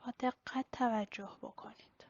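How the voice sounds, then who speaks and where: A woman leading a guided body-scan meditation in a slow, instructional way with pauses. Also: fairly high pitch, steady tone, a little mellow, no room sound. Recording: slightly dull, lo-fi recording.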